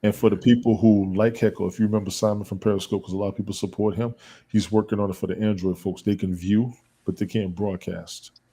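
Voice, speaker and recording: A man's voice: fairly low pitch, fairly even intonation, fast talker, dark tone, husky. A man chatting casually as a guest on a live show, emphatic and repeating his points. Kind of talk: casual chat.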